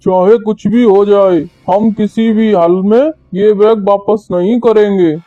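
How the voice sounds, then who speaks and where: A man's voice: high voice, fairly even intonation, measured pace, no room sound, phone-quality sound. A man voicing a greedy, bossy male crow who runs an auto-rickshaw in a Hindi children's cartoon. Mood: scheming.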